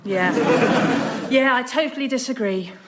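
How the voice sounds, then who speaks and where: A woman's voice: on the high side, measured pace, lightly acted. A woman playing an emphatic, contradictory door guard in a radio comedy sketch. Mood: contrary, sarcastic. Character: contrary female door guard who always lies. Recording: loud live audience, noisy sound.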